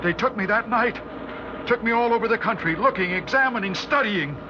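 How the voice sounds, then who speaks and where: Terrified captive scientist played by a male actor: extremely high, pleading voice, urgent vintage radio horror, noisy track. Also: fairly quick, close to an everyday voice. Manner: urgent, pleading, breathless dread. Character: desperate male scientist held captive by aliens. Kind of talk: 1960s radio drama acting. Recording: noisy, muffled old radio recording.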